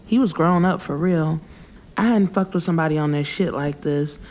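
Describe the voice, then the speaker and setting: A woman's voice: sits low, normal speed, darkish tone, slight background noise. A woman narrating first-person urban fiction in a casual, frank, streetwise way. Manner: frank, streetwise delivery with swearing. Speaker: audiobook narrator.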